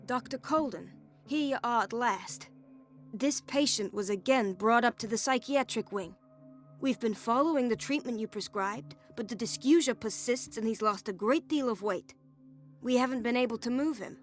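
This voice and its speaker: An actress playing a dutiful, discreet hospital nurse in a video game, deferential and earnest. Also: female voice, a little high, moderate pace, soft background music, close to an everyday voice. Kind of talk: video game cutscene acting.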